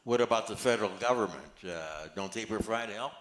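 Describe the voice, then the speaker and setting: A man's voice: fairly low pitch, fairly quick, fairly bright tone, natural voice. An older man reading the part of a genial, modest grandfather in a play, plainly and a little hesitantly. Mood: matter-of-fact. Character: genial, modest grandfather who organizes charity work. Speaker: amateur actor reading a play. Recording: echoey, roomy amateur recording.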